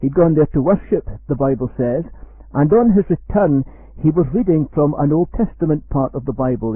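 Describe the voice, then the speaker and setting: A man with a Scottish accent, giving an earnest, measured radio sermon. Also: fairly high pitch, a little hiss or hum. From Scotland. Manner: measured, earnest preaching, slows for quoted verse. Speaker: preacher. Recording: dry, tinny phone-like radio sound.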